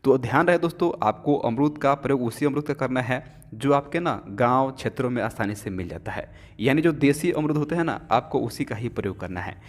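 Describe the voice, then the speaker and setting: A man's voice: mid-range voice, brisk, hardly any pauses. A man giving a chatty home-remedy cooking demonstration in a home kitchen, often addressing viewers as friends. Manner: chatty, keeps saying "friends" and filler "na". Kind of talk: cooking demonstration with health tips. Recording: long reverb.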